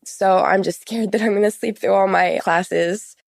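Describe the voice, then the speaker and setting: A woman's voice: mid-range voice, fairly even intonation, normal speed, gravelly, no echo. A teenage young woman answers interview questions in a candid, conversational way. She leans on repeated words for emphasis. The sound is close and dry, with little room. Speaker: podcast guest.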